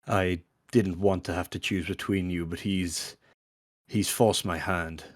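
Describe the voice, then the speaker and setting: A man playing a controlled, treacherous Sith officer in an audio drama, with formal, measured and assertive speech. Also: low-pitched, fairly even intonation, dry recording, studio quality. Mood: regretful. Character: controlled, formal male Sith officer, lover turned betrayer.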